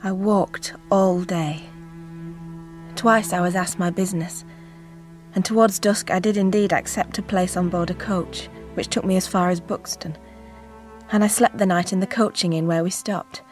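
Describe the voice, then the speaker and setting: An actress playing a resolute, sheltered nineteenth-century gentlewoman, narrating formally with composed, old-fashioned diction in a radio drama. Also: fairly low pitch, fairly even intonation, moderate pace, faint music behind, natural voice. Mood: weary, resolute. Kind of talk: dramatised first-person narration.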